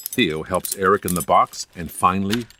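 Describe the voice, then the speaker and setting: A man's voice: low-pitched, moderate pace, background noise. A man reading stage directions as scripted narration for an audio musical.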